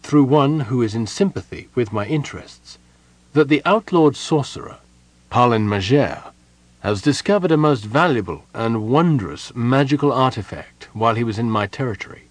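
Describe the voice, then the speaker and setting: Audiobook role: a man plays a dutiful knight, deferential and earnest, with animated intonation. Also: medium pitch, moderate pace, no echo, close to an everyday voice. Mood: formal reading. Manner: respectful, earnest.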